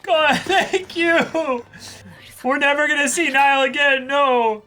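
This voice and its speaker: A man hosting a reaction show, commenting casually and excitedly, full of exclamations and swearing. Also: very high-pitched, slow, soft background music.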